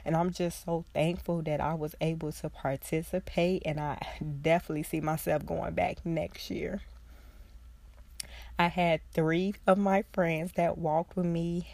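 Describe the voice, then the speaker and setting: A woman's voice: lowish voice, little pitch movement, vocal fry, darkish tone, no room sound. A young woman hosting a casual solo podcast, congested from a cold, talking in an earnest, heartfelt way. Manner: earnest, heartfelt, slow and reflective. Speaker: podcast host, social worker.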